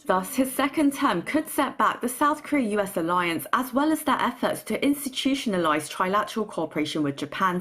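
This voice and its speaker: A woman narrates a news report in a husky voice of medium pitch. Her intonation moves up and down in a very lively way as she reads the script.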